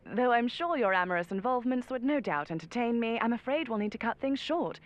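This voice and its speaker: An actress playing a poised, dryly amused spy handler who guides an agent through an interactive audio game. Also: female voice, fairly high pitch, lively intonation, a little fast, lightly acted. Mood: wry. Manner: cool, composed, wry. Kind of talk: scripted interactive game narration.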